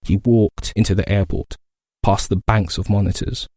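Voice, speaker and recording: A man's voice: on the low side, fairly even intonation, moderate pace, a little mellow, no room sound. A man narrating literary fiction as a dramatic voice actor's reading. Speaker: voice actor performing a reel.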